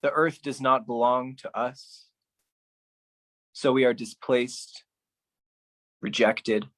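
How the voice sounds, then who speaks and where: A man performing his own writing as a spoken-word solo artist, speaking deliberately with pauses. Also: medium pitch, fairly even intonation, a bit bright. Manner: slow, deliberate delivery with pauses.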